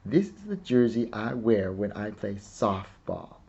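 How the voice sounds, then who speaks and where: A man's voice: lowish voice, animated, measured pace, creaky, warm tone, husky, muffled recording, heavily acted. A man reading a storybook as a proud, eager little girl who earnestly and carefully plays museum guide.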